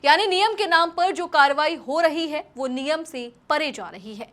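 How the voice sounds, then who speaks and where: A woman's voice: sits high, moderate pace, fairly bright tone. A woman news presenter delivering scripted commentary in an emphatic, rhetorical style.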